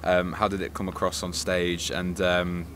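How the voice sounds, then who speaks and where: Low-voiced man, measured and diplomatic. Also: little pitch movement, a bit bright. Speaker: reviewer, co-presenter. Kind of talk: casual spoken review. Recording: faint background ambience noise.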